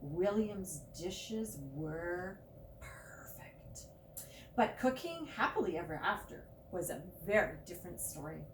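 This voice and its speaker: Expressive woman reading aloud, roomy distant sound. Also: fairly low pitch. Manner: expressive, drawn-out emphasis with chatty asides. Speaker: library storytime reader. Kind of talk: animated read-aloud of a children's picture book. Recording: roomy, distant mic with faint noise.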